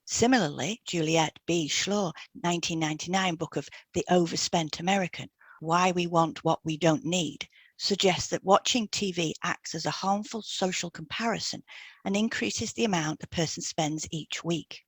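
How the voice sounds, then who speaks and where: A woman's voice: on the low side, moderate pace, slightly rough, no echo. A woman co-hosting an educational podcast, reading from a prepared script. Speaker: podcast co-host, hypnotherapist.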